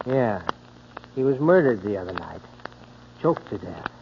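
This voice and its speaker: Menacing, sly dockside crook, muffled radio. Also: male voice, on the low side, sing-song, a little slow, creaky, full character voice. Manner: needling, insinuating tough talk. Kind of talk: 1940s radio drama acting. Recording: muffled, noisy 1940s radio broadcast.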